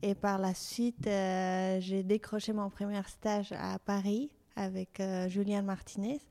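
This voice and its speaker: A woman's voice: medium pitch, little pitch movement, unhurried, dark tone. A young woman from Mexico with a Mexican Spanish accent, a fashion designer speaking as an interview guest in French, not her first language, hesitant with many fillers and restarts. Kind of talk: interview conversation.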